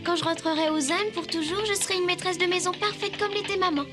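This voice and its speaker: A woman's voice: very high-pitched, fairly even intonation, fast, music in the background. A woman voicing a sweet, dutiful little girl in a dubbed cartoon, polite and earnest.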